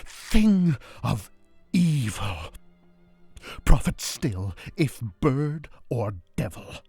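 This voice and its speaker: Tormented male mourner in gothic verse. Desperate, theatrical, pitched up and loud, over string music. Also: dry recording. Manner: impassioned, theatrical, crying out. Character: grief-maddened man, desperate, tormented gothic narrator. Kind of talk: dramatic gothic poetry performance. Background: string quartet music.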